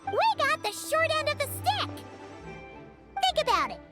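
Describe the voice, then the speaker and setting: Squeaky, very high-pitched childlike fairy companion. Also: female voice, big pitch swings, a little slow, over loud music, exaggerated voice. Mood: cheeky. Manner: speaks of herself in third person, exclamatory. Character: tiny childlike floating fairy companion, cheeky, money-loving. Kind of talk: video game character acting.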